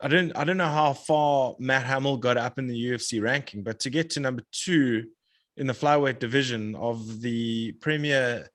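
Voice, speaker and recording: Relaxed male podcast guest. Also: medium pitch, moderate pace. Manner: relaxed, enthusiastic about fighting. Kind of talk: casual chat.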